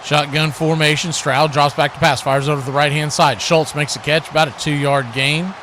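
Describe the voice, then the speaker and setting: A man's voice: on the high side, fairly even intonation, moderate pace, a bit bright. A man doing live radio play-by-play commentary of a football game as the announcer. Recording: clearly noisy live broadcast.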